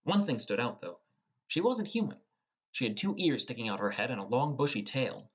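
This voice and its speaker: A man's voice: mid-range voice, very animated, moderate pace, husky. A young man reading scripted first-person fantasy narration as a dramatic storyteller. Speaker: audiobook narrator.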